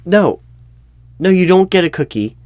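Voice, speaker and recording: A man playing a flustered, earnest recruiting manager in a one-sided job interview roleplay, speaking haltingly and often correcting himself. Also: high voice, animated, darkish tone, no echo, pitched above the performer's own voice. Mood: exasperated. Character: flustered, unobservant male corporate recruiting manager, earnest, exasperated. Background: computer keyboard typing.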